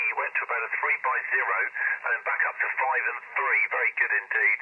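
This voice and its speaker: A British man heard over a radio link, chatting in a complimentary, unscripted way as an amateur radio operator. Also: medium pitch. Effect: heard over shortwave radio link. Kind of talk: unscripted ham radio contact. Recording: very noisy, fading shortwave radio reception.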